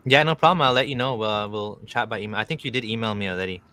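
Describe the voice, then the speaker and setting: A man's voice: lowish voice, fast, bright, forceful tone, clear, resonant tone. A man chatting casually and agreeably as a guest on a livestream interview. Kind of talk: casual chat.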